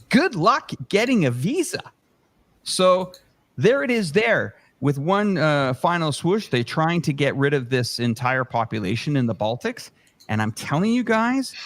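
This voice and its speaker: A man's voice: on the high side, no room sound. A man giving impassioned political commentary as a livestream panelist, emphatic and fond of rhetorical questions. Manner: emphatic, rhetorical questions, acts out imagined exchanges.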